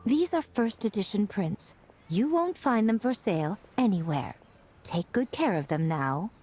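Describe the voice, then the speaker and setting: A woman voicing a sly, poised woman who speaks in a smooth, coy, teasing way. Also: medium pitch, expressive, measured pace, dark tone. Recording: game audio with faint music.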